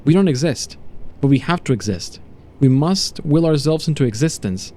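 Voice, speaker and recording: A man playing an agitated condemned prisoner in a horror audio story, halting and emphatic as he unravels. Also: a little high, expressive, warm tone, natural voice. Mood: delirious conviction. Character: condemned male prisoner unraveling from irritation into awed despair.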